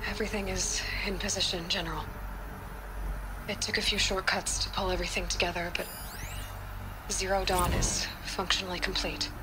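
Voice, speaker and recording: An actress playing a direct, composed American lead scientist in a cinematic video game scene. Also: female voice, fairly low pitch, little pitch movement, normal speed, long pauses, background noise, lightly acted. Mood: composed, somber. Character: brisk, blunt, composed female lead scientist. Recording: music under the voice. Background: background music.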